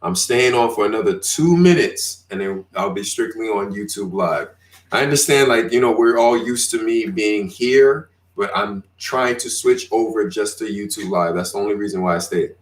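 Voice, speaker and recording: A man hosting a casual livestream chat, talking in a relaxed, rambling way with many fillers. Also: fairly low pitch, normal speed, recorded from a distance. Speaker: podcast host. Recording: roomy, from off the mic.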